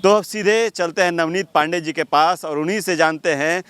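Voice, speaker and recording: Male presenter, formal and polished, clear and slightly high voice, for hosting interviews. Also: brisk, fairly bright tone, no room sound. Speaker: show host, interviewer.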